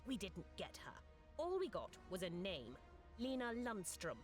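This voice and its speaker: A woman's voice: mid-range voice, huge pitch swings, a little slow, faint music behind. A woman playing an earnest underling scientist in a video game, reporting in a precise, matter-of-fact way.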